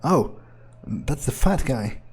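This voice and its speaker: A man role-playing an arrogant, disdainful vampire in a gameplay video, musing in a husky voice with halting, foul-mouthed phrasing. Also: mid-range voice, lively intonation. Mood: indifferent. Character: arrogant, contemptuous male vampire musing to himself. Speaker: gamer role-playing in character. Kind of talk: in-character gameplay monologue, improvised. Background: music.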